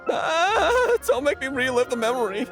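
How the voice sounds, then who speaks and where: An actor playing a cowardly, excitable young gang underling in a video game, nervous and stammering. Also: high voice, moderate pace. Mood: spooked. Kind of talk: animated video game voice acting. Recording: music behind the voice. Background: game music.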